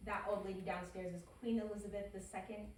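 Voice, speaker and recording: A woman playing an incredulous, deadpan nineteen-year-old girl in an animated comedy table read. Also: medium pitch, quick pace, lightly acted. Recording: echoey room, distant microphone, faint noise.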